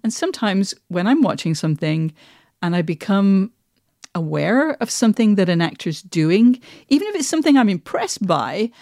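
Female co-host, mid-range voice, very dry sound; relaxed conversational delivery, reflective and openly self-deprecating. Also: medium pitch, moderate pace, no echo. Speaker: podcast co-host and writer.

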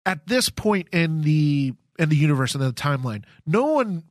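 A man co-hosting a casual podcast, explaining his ideas haltingly, with many restarts. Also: fairly high pitch, very animated, no echo, clean studio sound. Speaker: podcast co-host.

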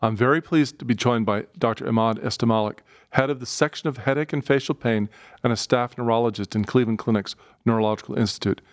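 A man hosting a medical podcast, reading a clear, scripted introduction before interviewing his guest. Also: medium pitch, fast talker, dry recording, studio quality. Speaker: podcast host.

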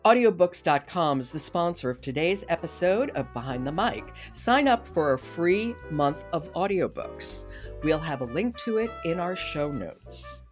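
A woman's voice: on the low side, very animated, measured pace, soft background music. A woman hosting a podcast in a conversational interview style. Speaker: podcast host.

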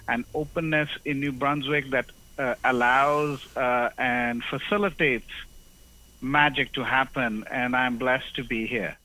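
A man raised in Zambia speaks as an interview guest in a bright, slightly tense voice, pitched a touch high. He talks haltingly, circling back with fillers and restarts. The sound has a broadcast-radio quality. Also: low-quality recording. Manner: hesitant, many fillers and restarts. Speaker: guest, university professor. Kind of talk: conversational interview.

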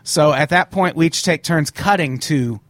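A man hosting a live-streamed podcast in casual chat, swearing freely and running through rules quickly, his voice a little hoarse. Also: fairly high pitch, a bit bright, no room sound. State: slightly hoarse. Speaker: podcast host.

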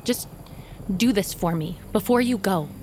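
An actress playing a loyal, plain-spoken survivor in an audio drama, measured and earnest, with formal old-world phrasing. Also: female voice, mid-range voice, expressive, a little mellow, a little hiss or hum, natural voice. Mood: pleading, guarded. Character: guarded, plain-spoken woman outcast, loyal friend, survivor. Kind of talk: audio fiction drama acting. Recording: dramatic music underneath.

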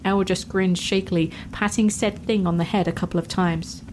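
A woman reads fantasy fiction as its narrator. Her pitch is average for a woman, and faint noise sits behind the voice. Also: steady tone, brisk, darkish tone, low-quality recording. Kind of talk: scripted fantasy story narration.

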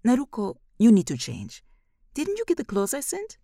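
A woman's voice: on the high side, huge pitch swings, normal speed, warm tone, no echo, voice pushed up, heavily acted. Stern, commanding aunt as a woman reads her. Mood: stern, impatient. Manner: dry, commanding, without affection. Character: stern, authoritative middle-aged aunt.

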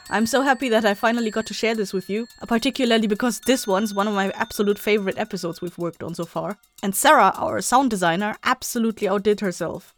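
A woman hosts a podcast with a fairly high, enthusiastic voice. She addresses listeners casually and chattily, over soft music. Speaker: podcast creator and host. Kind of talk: casual outro announcement to listeners.

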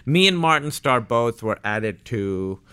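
A man's voice: medium pitch, lively intonation, unhurried, pushed and bright, clear, resonant tone. A man in his thirties from Pakistan, an actor telling stories as a guest in a casual interview, full of fillers and acted-out quotes.

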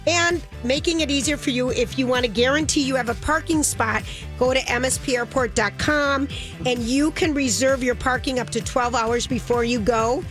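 A woman's voice: sits high, fairly bright tone, soft background music. Chatty Midwestern woman radio host. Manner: chatty, enthusiastic, quick asides. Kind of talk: casual radio chat and live ad reads. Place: radio studio. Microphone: studio microphone.

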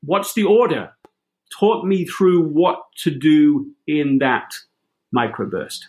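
A man's voice: fairly high pitch, lively intonation, takes it slowly, darkish tone, rough recording. A man hosting a conversational interview, reflecting on his guest's points and explaining his own coaching approach. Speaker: podcast host and coach.

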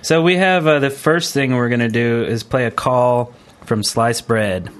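A man of ordinary pitch hosts a podcast. His delivery is informal and conversational, like someone talking among friends. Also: measured pace. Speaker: podcast host. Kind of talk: casual chat.

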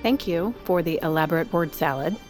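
A firm, pragmatic female leader in a survival audio drama played by an actress. Her voice is lowish and directive, set over clearly audible music. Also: brisk, close to an everyday voice. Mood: firm, dry. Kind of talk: audio fiction acting.

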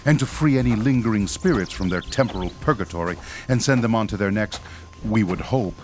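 A man's voice: fairly low pitch, lively intonation, a little fast, darkish tone, lightly acted. An actor playing a principled ghost hunter in his fifties, formal, professorial and a little patronizing, in a horror radio drama. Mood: solemn, firm. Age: 50s.

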